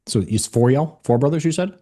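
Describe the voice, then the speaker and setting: A man's voice: medium pitch, animated, fast talker, warm tone. A man in his forties hosting a podcast, laid-back and casual, dropping lots of short yeahs. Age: 40s. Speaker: podcast host.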